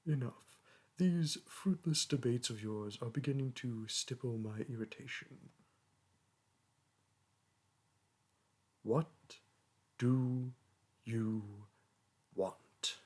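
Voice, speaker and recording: Guy doing a snooty dragon professor, mid pitched male, super formal old-timey talk, looks down on humans, roleplay audio. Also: slow, a little mellow. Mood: irritated. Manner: pompous, archaic formal diction, disdainful. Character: haughty young male dragon teacher, condescending toward humans. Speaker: teacher. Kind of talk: roleplay audio monologue to a listener.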